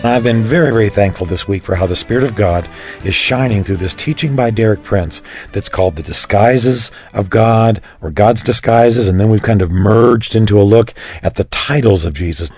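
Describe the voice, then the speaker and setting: A man hosting a religious radio program, earnest and chatty, his voice a little raspy today. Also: lowish voice, normal speed, darkish tone, soft background music. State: slightly raspy voice. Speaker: radio host. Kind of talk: warm-up introduction on radio.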